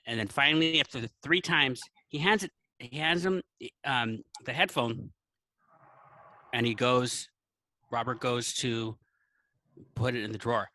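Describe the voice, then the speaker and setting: Man, bright voice, casual storytelling, quotes dialogue in character, dry close sound. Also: medium pitch, unhurried, pauses often, no echo, poor audio. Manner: acts out dialogue in anecdotes. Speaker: podcast guest, teacher.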